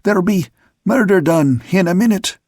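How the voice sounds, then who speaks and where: A man voicing a rough, excitable sailor in a sea-story audiobook, shouting breathlessly with dropped letters. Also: high-pitched, moderate pace, no echo, studio quality, voice pushed up. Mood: breathless, urgent. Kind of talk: audiobook character voice.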